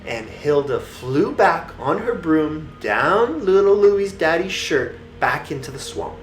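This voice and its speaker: Slow, expressive children's bedtime storyteller. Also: medium pitch, slight background noise. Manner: simple drawn-out phrases for small children. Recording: echoey room with faint music.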